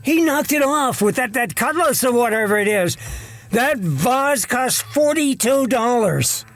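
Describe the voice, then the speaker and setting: Audio drama husband: pushy, jittery man, highly animated pitch, nervous stammer. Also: measured pace, full character voice. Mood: upset. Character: excitable, pushy, easily frightened husband of a writer.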